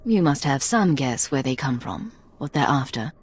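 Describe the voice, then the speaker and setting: An actress playing a cool, resolute secret agent in a video game, terse and controlled. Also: female voice, rumbling low, lively intonation, moderate pace, husky, exaggerated voice. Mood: cool, guarded.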